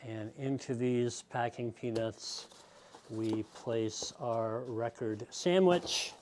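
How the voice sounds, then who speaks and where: A man's voice: mid-range voice, slow, darkish tone, a little hiss or hum. A man giving a casual, patient step-by-step how-to demonstration, explaining as he goes. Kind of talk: casual step-by-step tutorial talk.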